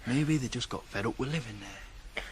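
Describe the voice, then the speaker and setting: A man's voice: medium pitch, normal speed, breathy edge. An actor playing a teasing, blunt but fatherly nineteen-year-old farm lad in a radio drama. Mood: quiet, fatherly. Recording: 1980s radio play, faint noise.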